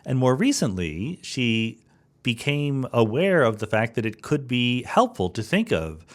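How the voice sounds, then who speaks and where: A man's voice: medium pitch, big pitch swings, a little slow. A man speaking as a podcast host in an informal, explanatory, conversational manner. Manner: informal, explanatory, with rhetorical check-in questions. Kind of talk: conversational podcast introduction and interview.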